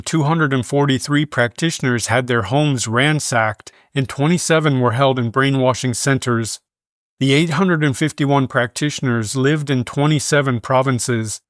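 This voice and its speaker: A man reading scripted news in a measured, formal manner. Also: medium pitch, no echo, clean studio sound. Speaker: news reader.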